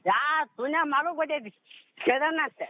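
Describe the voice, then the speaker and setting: A man's voice: very high-pitched, normal speed. An irritated man with a North Karnataka Kannada accent, arguing bluntly and protesting over the telephone. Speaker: prank call recipient. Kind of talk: heated phone argument. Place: on a phone call. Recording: tinny phone line with noise.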